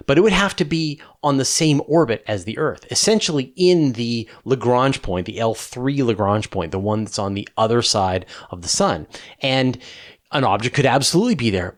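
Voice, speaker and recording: A middle-aged man hosting a space question-and-answer show, explaining conversationally with emphatic stresses and rhetorical questions. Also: mid-range voice.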